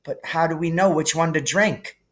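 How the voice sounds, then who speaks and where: Guy reading as a brave but worried boy wizard in a storybook, plain-spoken, a bit higher pitched. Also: steady tone, moderate pace, a bit bright, lightly acted.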